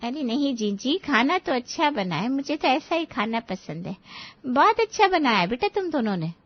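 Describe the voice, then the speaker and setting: A woman voicing a kind, gentle older aunt who patiently advises young wives in an animated moral story. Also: high voice, normal speed, muffled recording. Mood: kind, reassuring. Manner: gentle, reassuring, explanatory.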